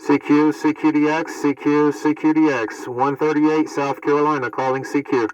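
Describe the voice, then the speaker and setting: A man's voice: fairly high pitch, flat delivery, moderate pace, background noise. A middle-aged American man talking casually as a CB radio hobbyist, often repeating his phrases. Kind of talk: casual vlog talk and radio calling.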